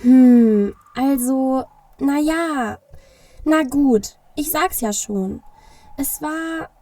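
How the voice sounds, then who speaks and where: A woman's voice: high voice, drawn-out words, warm tone, no echo, recorded from a distance, exaggerated voice. A woman playing a grumpy, sneaky male Christmas elf in an audio play, bossy and grumbling. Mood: sheepish.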